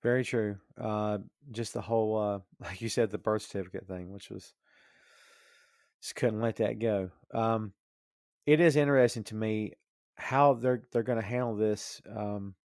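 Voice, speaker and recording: A man's voice: on the low side, steady tone, measured pace, darkish tone, no room sound, studio quality. A man in a casual, opinionated podcast discussion, rambling and thinking aloud as he goes. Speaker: podcast host discussing comics and film.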